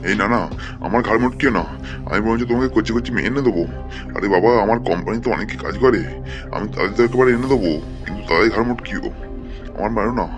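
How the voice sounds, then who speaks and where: Comic cartoon coward, played by a man: flustered, rambling, stammering, quick pace, faint music. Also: fairly low pitch, lightly acted. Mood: frightened pleading. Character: flustered cowardly boss pleading with a ghost. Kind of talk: amateur comic cartoon dub. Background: faint background music.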